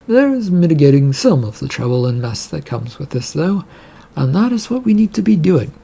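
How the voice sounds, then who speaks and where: A man's voice: fairly high pitch, very animated, normal speed, vocal fry, dark tone, breathy edge, pitched above the performer's own voice, heavily acted. A man voicing a scheming, well-connected businessman in a story, wry and confident. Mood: wry, brisk.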